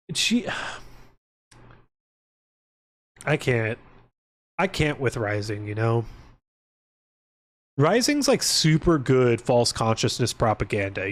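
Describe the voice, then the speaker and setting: A man live streaming, chatting casually to his viewers in an informal, rambling way with exasperated asides. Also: mid-range voice.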